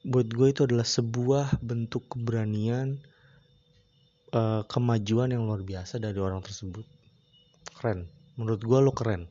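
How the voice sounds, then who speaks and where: A man hosts his own podcast alone, drifting through his thoughts in a loose, slangy way and pausing often to search for words. His voice sits at an ordinary pitch with a dark, mellow tone. The recording sounds a little dull and lo-fi. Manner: relaxed, rambling, slangy, with frequent hesitations. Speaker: podcast host. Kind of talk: casual solo podcast chat.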